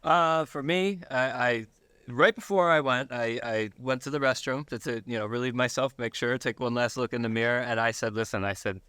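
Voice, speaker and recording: An American man talking casually as an interview guest, sharing personal testimony in a halting way, repeating words and checking for agreement. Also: medium pitch, sharp tone, clear, resonant tone, dry recording. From Buffalo, United States.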